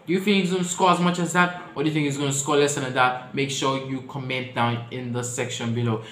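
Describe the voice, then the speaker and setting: A man's voice: fairly even intonation, fairly quick, echoey room, slight background noise. A man giving his opinions to viewers in a casual vlog, chatty and speaking straight to the audience. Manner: chatty, addresses viewers directly, shifts pitch and loudness often. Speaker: football vlogger.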